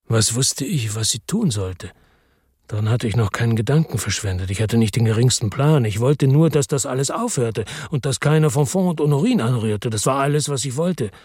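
A man's voice: mid-range voice, animated, moderate pace, darkish tone, breathy edge, dry recording, clean studio sound. An actor playing a middle-aged, weary and bitter ex-policeman, narrating tersely in a radio drama. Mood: bitter, resolved. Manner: terse, sombre, matter-of-fact. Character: weary, bitter male ex-policeman narrating his own crime story.

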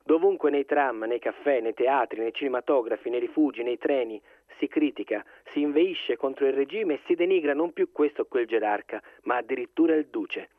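A man's voice: mid-range voice, fairly quick, few pauses, no room sound. A man as a tinny radio news chronicler. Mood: grave, formal. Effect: radio filter. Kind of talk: scripted historical narration. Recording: thin phone-like radio sound, very dry.

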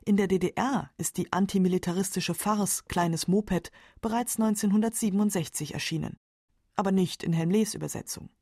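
Female documentary narrator, husky, dry close studio sound, scripted literary radio delivery. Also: mid-range voice, moderate pace, dark tone, dry recording. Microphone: close studio microphone.

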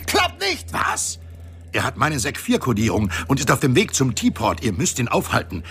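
Excitable, swooping flustered male officer. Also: medium pitch, moderate pace, slight background noise. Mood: agitated. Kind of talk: German science fiction radio drama acting.

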